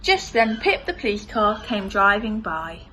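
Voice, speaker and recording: A woman's voice: mid-range voice, big pitch swings, unhurried, sharp tone, a little hiss or hum. A woman reading a children's storybook expressively, in the style of a primary school teacher.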